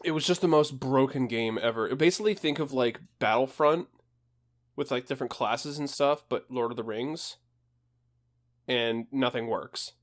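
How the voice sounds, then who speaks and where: A man's voice: mid-range voice, moderate pace. A man co-hosting a podcast in casual banter, blunt and joking, with a profane streak. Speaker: podcast co-host.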